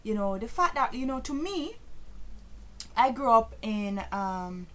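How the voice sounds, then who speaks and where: Earnest Jamaican woman, slightly high voice. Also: sing-song, unhurried. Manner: earnest, many fillers and restarts, grows emphatic and preachy. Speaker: vlogger. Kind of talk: casual talk to camera.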